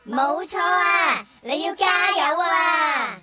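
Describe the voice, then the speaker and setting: A kindly child in a children's radio drama. The voice is high and coaxing, supportive toward friends, with music playing behind it. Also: animated, slow pace. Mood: cheering.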